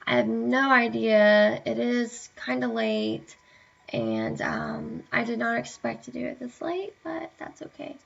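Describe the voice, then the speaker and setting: A young woman chatting casually to camera, hesitant with many fillers, sounding a bit hoarse and tired. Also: a little high, very animated, measured pace. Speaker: vlogger. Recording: dull lo-fi webcam audio.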